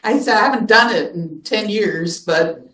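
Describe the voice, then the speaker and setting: A woman's voice: medium pitch, normal speed, a bit bright. A woman at a computer club meeting, chatting casually and telling a rambling story. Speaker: club member presenting. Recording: echoey room, far from the mic.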